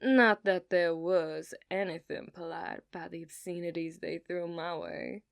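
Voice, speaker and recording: A woman voicing an obsessive, dominant outlaw cowgirl in a put-on Southern accent, for an ASMR roleplay, teasing and dropping into soft whispers. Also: fairly low pitch, steady tone, a little slow, creaky, clear, resonant tone, no room sound, studio quality. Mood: menacing. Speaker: ASMR performer.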